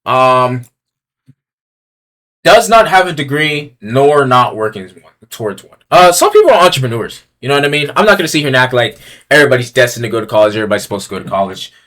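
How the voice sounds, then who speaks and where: A young man with a lively, bouncing voice gives blunt, profanity-heavy opinions. He swings from low mutters to loud outbursts as he reads each tier-list item aloud and riffs on it. The delivery is casual and unscripted. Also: fast. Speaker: streamer ranking items on a tier list. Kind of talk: casual commentary.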